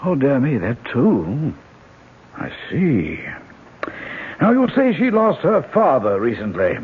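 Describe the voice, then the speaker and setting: Courteous, grave rural physician: husky, lively intonation, hesitant radio-drama phrasing. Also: male voice, medium pitch, takes it slowly, heavily acted. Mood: grave concern. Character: grave, kindly country doctor, fussy and courteous. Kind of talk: old-time radio drama acting. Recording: narrow-band old radio broadcast.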